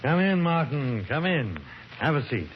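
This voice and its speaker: Brief: an animated professor-explorer, lecturing and persuasive, on a dull, crackly vintage radio recording. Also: male voice, a little high, measured pace. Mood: eager. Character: enthusiastic male archaeology professor, explorer. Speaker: character in a vintage radio drama. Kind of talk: 1940s radio acting.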